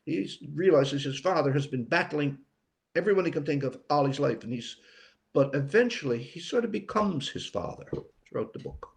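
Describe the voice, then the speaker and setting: A man's voice: a little high, lively intonation, moderate pace, creaky. An older man from Newfoundland, an author chatting casually as an interview guest in a reflective, meandering way. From Newfoundland, Canada. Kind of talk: casual interview talk about writing.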